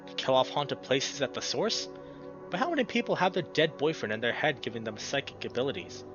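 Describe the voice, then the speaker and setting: A man's voice: mid-range voice, expressive, a little fast, lightly acted. A man voicing a caring, wry male coyote, a ghostly boyfriend in a visual novel. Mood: wry, worried. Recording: dull lo-fi sound, music behind.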